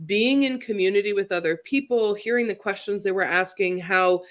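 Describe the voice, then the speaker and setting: A woman's voice: mid-range voice, a little fast. A woman hosting a livestream interview over a video call, speaking in a reflective, thoughtful way and asking open questions. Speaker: livestream host. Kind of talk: conversational interview.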